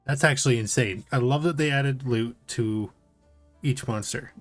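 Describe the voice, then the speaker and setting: A man's voice: mid-range voice, moderate pace, faint music behind. A man hosting a casual reaction show, commenting in a loose, conversational way. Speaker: host.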